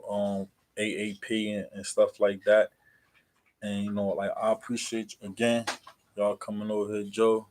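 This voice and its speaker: A man with an American accent chatting casually as a livestream host, in a halting, repetitive, rambling way full of fillers. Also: lowish voice, a little mellow. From New Jersey, USA.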